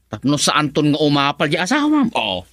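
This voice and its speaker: A man's voice: on the high side, huge pitch swings, moderate pace, a bit bright, no room sound, studio quality, full character voice. An actor playing a joking male friend and drinking buddy who teases his pal, in a radio drama. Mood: teasing. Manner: teasing, laughs often.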